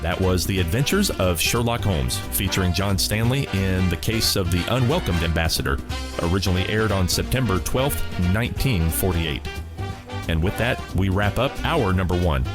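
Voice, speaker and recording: A very deep-voiced man presenting a vintage radio program in a smooth, scripted way. Loud guitar music plays behind him the whole time. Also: lively intonation, moderate pace. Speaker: host of a classic radio rebroadcast.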